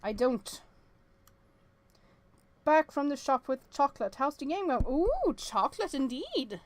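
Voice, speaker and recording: A woman streaming a game with casual, expressive commentary, thinking aloud and reading on-screen text as she goes. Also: sits high, a little slow, dry recording.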